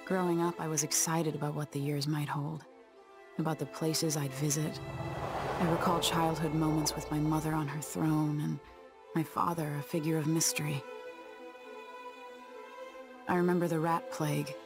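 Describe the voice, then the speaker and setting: Young deposed empress in a game, low cool voice, determined, music under it. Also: female voice, little pitch movement, moderate pace, long pauses. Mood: reflective, resolute. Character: deposed young empress turned masked avenger, resolute and guarded. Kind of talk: video game voice acting.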